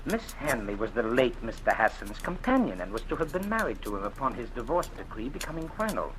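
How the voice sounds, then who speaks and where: An actor playing a smooth, sleazy former insurance investigator who blackmails a woman, talking in an oily, taunting drawl, in 1940s radio drama style. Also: mid-range voice, very animated, fairly quick, noisy background, close to an everyday voice.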